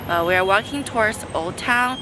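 A woman's voice: medium pitch, normal speed, background noise. A young woman narrating a casual travel vlog while walking outdoors, talking chattily into a handheld camera. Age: young adult. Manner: chatty, upbeat, narrates as she walks. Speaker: vlogger. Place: outdoors on city streets. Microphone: handheld camera at arm's length.

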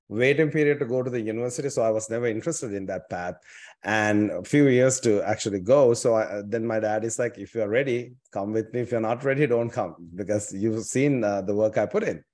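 A man's voice: on the low side, darkish tone. A man in his forties with a Sri Lankan accent, a company founder telling his story on a video call with lots of fillers and restarts. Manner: rambling, many fillers and restarts. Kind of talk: casual storytelling interview. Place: home office with dark bookshelves.

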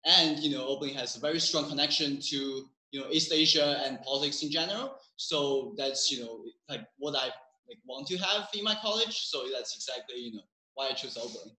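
Young male student moderator from China; hesitant, filler-heavy, bright, in an echoey room. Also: mid-range voice, a little fast. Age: young adult. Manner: hesitant, many "you know" fillers. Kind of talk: live admissions panel chat. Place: conference room.

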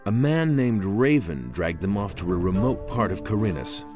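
An actor playing a blunt, plain-spoken adventurer hero with terse, matter-of-fact delivery in a video game. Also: male voice, fairly low pitch, big pitch swings, moderate pace, music in the background.

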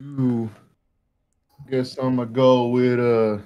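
A man with a medium-pitched voice talks at a slow pace. He is a panelist, chatting in a casual, playful way. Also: little pitch movement, muffled recording.

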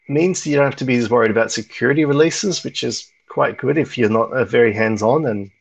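A man's voice: medium pitch, vocal fry, slightly rough, rough recording. A man presenting at an online meetup over slides, talking casually with frequent ums and restarts. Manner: rambling, lots of ums and restarts.

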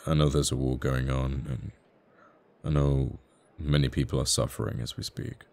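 A man playing a flirty, tender fighter pilot in a romantic ASMR roleplay, stammering bashfully and haltingly. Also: rumbling low, little pitch movement, creaky, a little mellow. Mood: embarrassed, hopeful.

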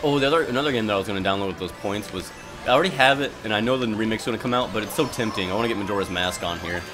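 A man casually chatting while playing a racing video game, talking fast and enthusiastically with many restarts. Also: lowish voice. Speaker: gaming channel host. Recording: game music behind.

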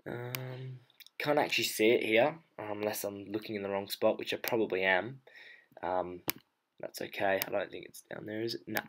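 A low-pitched male voice narrates a screen-recorded tutorial. He speaks casually and without a script, thinking aloud as he goes. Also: moderate pace, a bit bright. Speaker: photo editing tutorial presenter.

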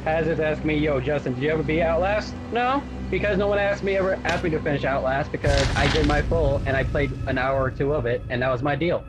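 A man's voice: fairly high pitch, fast, few pauses, noisy background. A man bantering casually as a guest on a game livestream.

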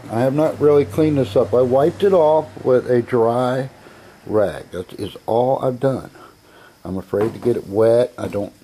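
A man with an American accent and a relaxed, mellow tone at a middling pitch. He talks informally, like a seller showing off his goods on a home video, with a blunt, self-assured and folksy way of putting things. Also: slight background noise. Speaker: auction seller presenting an item. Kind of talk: informal sales video narration. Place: indoor room, narrating from behind a handheld camera.

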